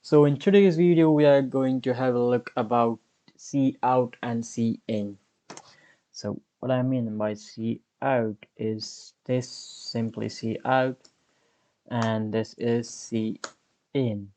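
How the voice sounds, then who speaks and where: Slow, mellow man explaining code. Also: medium pitch, fairly even intonation. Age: 20s. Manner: unhurried, explains step by step while typing. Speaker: coding tutorial host. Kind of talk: screen-recorded programming tutorial.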